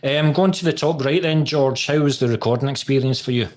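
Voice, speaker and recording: A man's voice: mid-range voice, a little fast, darkish tone. A man interviewing a band over a video call, asking long rambling questions with many ums.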